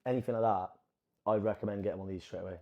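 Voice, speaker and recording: A man's voice: fairly low pitch, steady tone, rapid-fire, dull audio. A man with a Brazilian accent co-hosting a podcast in casual chat, rambling and often restarting his sentences. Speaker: podcast co-host.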